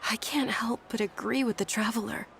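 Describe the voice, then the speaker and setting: An actress playing a well-bred, caring young noble lady in a video game, with a polite, gentle, articulate manner. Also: on the high side, steady tone, brisk, vocal fry, a little hiss or hum. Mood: concerned, thoughtful. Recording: faint game music behind the voice.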